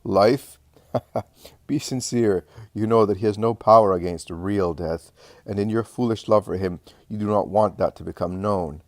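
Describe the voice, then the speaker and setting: A man's voice: on the low side, unhurried, darkish tone, no room sound, studio quality. A man playing a pompous, mocking male religious elder, insinuating and insistent in questioning, in a dramatic story reading. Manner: insistent, smooth-tongued questioning.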